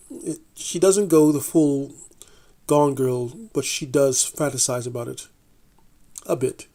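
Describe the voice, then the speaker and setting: A man reading a scripted TV review in a measured, deliberate way, with the odd slip and restart. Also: mid-range voice, warm tone. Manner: measured, deliberate delivery with occasional slips and restarts. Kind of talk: scripted review read aloud.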